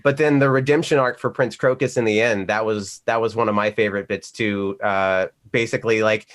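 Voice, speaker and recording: A man with a mid-pitched voice chats casually as a voice actor on an online panel. He tells his stories in an animated way, slipping into little acted-out asides. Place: remote video call.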